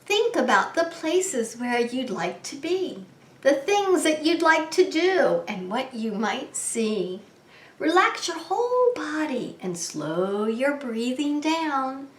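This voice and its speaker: A woman's voice: high-pitched, very animated, slow pace, reverberant, voice pushed up, exaggerated voice. A woman voicing a kindly, tired female owl who speaks in a soothing, lulling way in a children's storybook.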